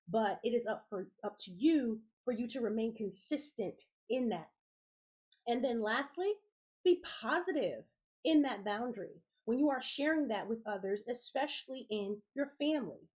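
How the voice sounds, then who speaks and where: A middle-aged woman hosting a self-care podcast, sounding raspy and congested from a head cold, speaking in an encouraging way straight to her listeners. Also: a little high, a little mellow. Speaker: podcast host. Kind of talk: motivational self-care talk.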